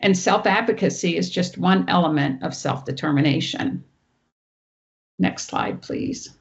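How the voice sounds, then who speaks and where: Woman with a loud teacher voice. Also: on the low side, unhurried, lo-fi sound. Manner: explains clearly, walks through each slide. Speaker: presenter. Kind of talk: online training presentation. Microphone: online meeting audio.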